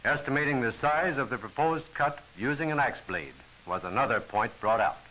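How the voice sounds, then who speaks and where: A man's voice: medium pitch, expressive, normal speed, pushed and bright, a little hiss or hum, poor audio. A man giving formal, instructional scripted narration for a training film, on an old film soundtrack.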